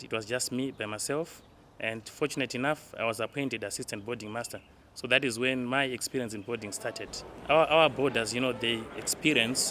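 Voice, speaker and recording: A man's voice: medium pitch, fairly bright tone. A man, a boarding master and teacher, explaining his work in an interview. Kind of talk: interview about own work. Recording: clear urban outdoor background noise.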